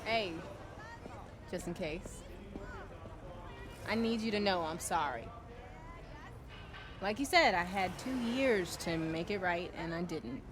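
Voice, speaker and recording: A woman's voice: fairly low pitch, slow, pauses often, noisy background. An actress voicing a young woman street racer in a video game, guarded and teasing, with dry banter. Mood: apologetic.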